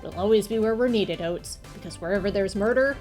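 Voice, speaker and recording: A woman plays a smug heroine of a TV murder mystery in a visual novel game. She declaims in a theatrical, melodramatic way at a medium pitch, with music clearly playing behind her. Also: brisk, darkish tone, natural voice. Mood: triumphant.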